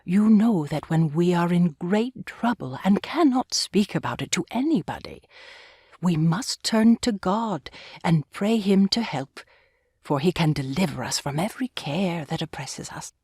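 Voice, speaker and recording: Casting: gracious elderly grandmother, gentle and earnest, expressive swooping intonation, close dry audiobook sound. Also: on the low side, measured pace, slightly rough, no room sound. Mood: tender, earnest. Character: kindly pious old grandmother, gracious and gently probing. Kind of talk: full-cast audiobook acting.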